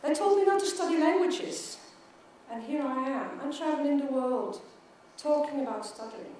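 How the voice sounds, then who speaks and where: A middle-aged woman with a Swedish accent speaks English to a conference audience, and she often stutters and repeats her words. Her voice sits high. It comes from a distance in a large, echoing hall, with faint noise underneath. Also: moderate pace, dark tone. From Sweden. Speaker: keynote speaker. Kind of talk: conference keynote speech. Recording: echoey hall, mic from far off.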